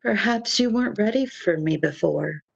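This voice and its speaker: A woman playing a calm, reassuring phantom lover, speaking soothingly and measuredly in an amateur radio drama. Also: mid-range voice, creaky, slightly rough, highs cut off, full character voice. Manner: soothing, measured, brief answers.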